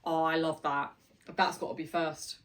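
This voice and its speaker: Low-voiced woman book vlogger, off-mic. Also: level tone, normal speed, far from the mic. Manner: chatty, reads passages aloud with enthusiasm. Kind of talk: casual chat with read-aloud passages.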